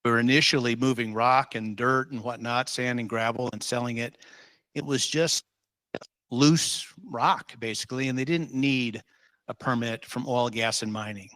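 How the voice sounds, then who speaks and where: Bright-voiced official running a public meeting. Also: male voice, mid-range voice, fairly even intonation, normal speed, no echo, poor audio. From Utah. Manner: informal, rambling, with many ums. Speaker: state division official leading a public meeting.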